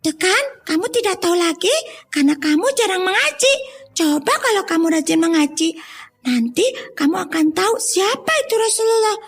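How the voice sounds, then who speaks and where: A woman's voice: very high-pitched, expressive, a little fast, faint music behind. A woman voicing an earnest, pious, helpful schoolgirl who likes to instruct, in a children's audio story. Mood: chiding, instructive. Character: Aulia, bright, pious, helpful schoolgirl who lectures her friend.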